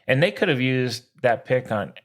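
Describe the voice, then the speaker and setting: Male sports co-host, very animated pitch swings, mid-range, blunt and exclamatory. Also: moderate pace. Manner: exclamatory, opinionated, blunt, swears casually. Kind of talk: casual sports chat.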